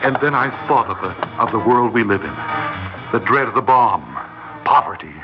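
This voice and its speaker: A man's voice: medium pitch, lively intonation, moderate pace, close to an everyday voice. A man acting an agitated small-town schoolteacher, urgent and desperate to be believed, in old-time radio drama style. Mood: tense narrating. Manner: urgent, stammering when flustered. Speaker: narrator and lead character. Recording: muffled old radio recording, music behind.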